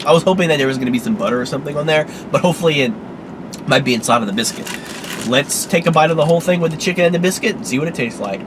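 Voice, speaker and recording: A man hosting a casual food review vlog, talking in an easygoing, rambling way with many fillers, sounding a little congested and hoarse. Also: on the high side, expressive, very fast, background noise.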